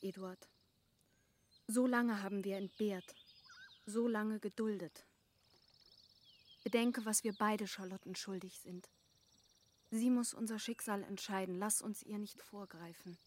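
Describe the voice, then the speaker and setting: A woman's voice: mid-range voice, natural voice. An actress playing a devoted, self-denying young woman in a German radio play, earnest and pleading in formal literary speech.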